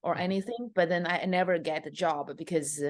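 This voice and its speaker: A woman's voice: lowish voice, steady tone, brisk. A woman from China with a Chinese accent, a stand-up comedian chatting as a guest from her home office, upbeat and full of fillers. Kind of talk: casual interview.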